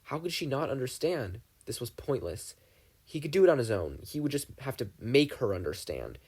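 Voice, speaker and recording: A man narrating a fan fiction audiobook with expressive storytelling. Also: medium pitch, moderate pace, husky. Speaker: podfic reader.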